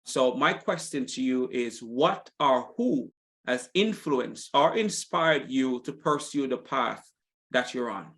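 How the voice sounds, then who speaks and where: A man's voice: mid-range voice, expressive, slow pace, a bit bright. A man hosting an interview, affirming his guest and speaking with frequent ums and uhs. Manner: affirming, many fillers. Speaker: podcast host. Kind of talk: interview conversation.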